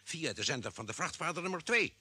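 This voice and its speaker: Bright, forceful radio-play doctor. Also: male voice, on the high side, animated, fast talker, breathy edge, full character voice. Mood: calm, concerned. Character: expedition doctor, steady commanding man on Mars. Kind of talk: 1950s Dutch radio drama acting.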